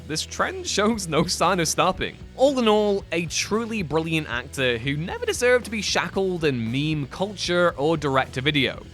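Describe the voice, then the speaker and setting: Bright, lively male list-video narrator. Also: on the high side. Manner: brisk, wry, enthusiastic. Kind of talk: scripted narration.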